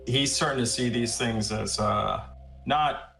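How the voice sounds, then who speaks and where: A man playing a tabletop game, halting with restarts and fillers as he describes his character. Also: medium pitch, vocal fry, bright, forceful tone, faint music behind, rough recording. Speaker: player. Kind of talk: casual tabletop play.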